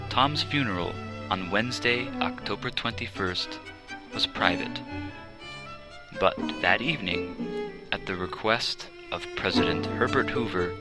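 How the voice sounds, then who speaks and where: A man reading a children's biography as scripted narration, with a deliberate storytelling delivery. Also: on the low side, rough recording. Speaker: audiobook narrator. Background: string quartet music.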